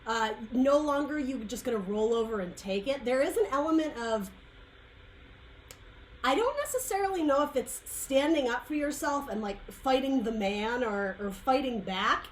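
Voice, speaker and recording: A woman's voice: sits high, expressive, moderate pace, slight background noise, low-quality recording. A woman giving a casual tarot reading, her throat dry and her voice going, rambling and searching for words. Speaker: tarot reader.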